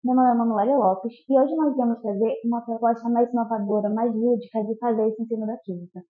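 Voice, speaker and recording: A woman's voice: fairly high pitch, steady tone, quick pace, slight background noise. A woman hosting a podcast, politely introducing the guest and reading prepared segment introductions. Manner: polite, formal address, reads prepared intros. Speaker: podcast host. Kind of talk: podcast interview. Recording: tinny phone-like line.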